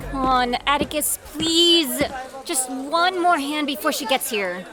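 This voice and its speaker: A woman's voice: sits high, expressive, unhurried. An actress playing a cowardly, carefree young woman who gambles, wheedling and whiny, in an audio drama. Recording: busy crowd and vehicle background.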